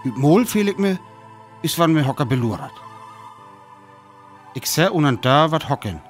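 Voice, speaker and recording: An actor with a North Frisian accent playing a weary lone survivor of an invasion in a radio play, telling it in sparse, dramatic phrases. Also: male voice, on the high side, lively intonation, normal speed, soft background music, natural voice. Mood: startled, tense. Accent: North Frisian (Föhr).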